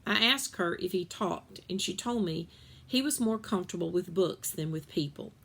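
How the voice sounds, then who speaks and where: A woman reading a children's novel aloud in an expressive storytelling style. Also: on the low side, moderate pace, gravelly, breathy edge.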